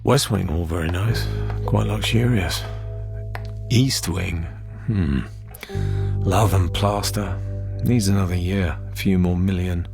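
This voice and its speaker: An actor voicing a British secret agent's inner thoughts in a radio drama, terse, wry and brooding. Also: male voice, low voice, slow pace, vocal fry, over music, exaggerated voice. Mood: wry, appraising. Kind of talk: radio drama interior monologue.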